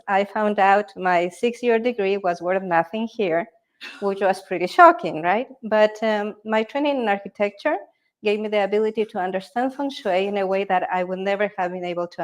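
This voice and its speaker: A woman speaks at an ordinary middle pitch. She presents a live workshop as a feng shui consultant, keeping her tone conversational and often checking in with her listeners. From Ecuador. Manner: conversational, checks in with tag questions.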